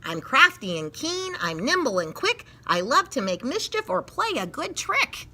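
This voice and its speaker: A woman's voice: high-pitched, big pitch swings, measured pace, sharp tone, slight background noise, pitched above the performer's own voice, heavily acted. A woman voicing a crafty, gleeful little male leprechaun who taunts in sing-song rhymes for a children's storybook. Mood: gleeful, taunting.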